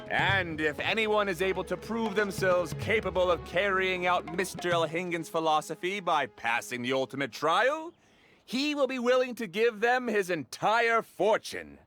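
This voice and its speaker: An actor playing a confident, showy scholar who hosts a tournament in a video game, with formal, grandiose delivery. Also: male voice, high voice. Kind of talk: video game character acting, ceremonial speech. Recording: music playing behind the voice. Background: game music.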